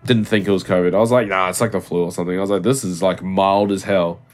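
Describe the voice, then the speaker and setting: A man's voice: low-pitched, steady tone, quick pace, faint music behind. A young man co-hosting a podcast over a call, congested, laughing often and swearing as he chats casually. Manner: laughs often, swears, trails off mid-sentence. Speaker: podcast co-host. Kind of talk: casual chat. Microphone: remote call mic.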